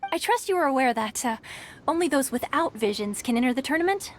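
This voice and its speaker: A woman's voice: high-pitched, lively intonation, quick pace, a little hiss or hum. A woman playing a polite, earnest young tournament registrar in a video game, speaking hesitantly. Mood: awed, apologetic. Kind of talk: video game character acting. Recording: light game music behind.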